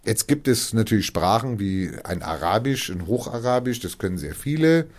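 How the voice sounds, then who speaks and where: A man's voice: on the low side, lively intonation, normal speed. A man who helps refugees, explaining in a casual conversation, acting out quoted dialogue and often restarting his sentences.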